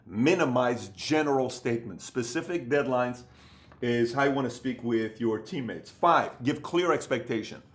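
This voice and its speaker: A man's voice: medium pitch, lively intonation, normal speed. Punchy motivational man coaching on camera. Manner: emphatic, repetitive, acts out other people's voices. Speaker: business coach. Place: indoor room with bookshelves.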